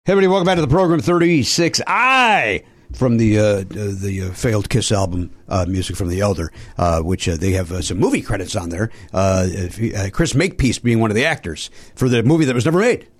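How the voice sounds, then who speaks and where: A man hosting a comedy podcast, joking and teasing his guest in casual chat. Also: lowish voice. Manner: joking, teasing, quick laughs. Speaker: podcast host.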